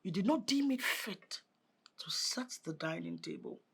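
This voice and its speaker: A woman playing a stern mother figure who scolds in a low, reproachful way. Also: wildly swooping pitch, a little slow, fairly bright tone, slightly rough, exaggerated voice.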